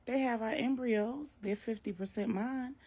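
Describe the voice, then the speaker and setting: A woman's voice: a little high, a little slow, a little mellow, slightly rough, pitched above the performer's own voice. A woman voicing a troubled, exasperated grown son in a story, plaintive and protesting. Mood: frustrated. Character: troubled, exasperated adult son searching for his wife.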